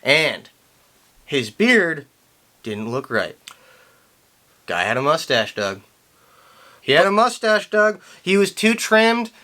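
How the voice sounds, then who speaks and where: A man hosting a casual chat, telling a story with playful deadpan teasing. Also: fairly high pitch, slow pace, pauses often. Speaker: host.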